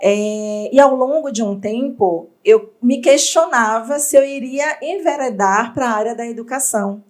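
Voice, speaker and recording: A woman from Bahia, an entrepreneur telling her story in an interview, reflective and expressive. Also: fairly high pitch, measured pace. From Bahia, Brazil. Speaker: entrepreneur guest.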